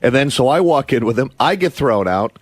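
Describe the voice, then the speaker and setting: A man's voice: medium pitch, lively intonation, a little fast, no room sound. A man, a radio staffer, casually explaining himself in an apologetic way in a studio.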